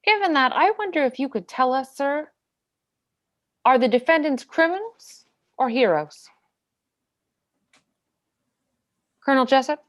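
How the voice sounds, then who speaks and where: A woman plays a military defense lawyer in a courtroom stage drama. Her voice is fairly high and very animated, moving widely in pitch. She is dogged and needling, pressing each question on the witness. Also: moderate pace. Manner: pointed, insistent questioning. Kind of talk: dramatic script reading.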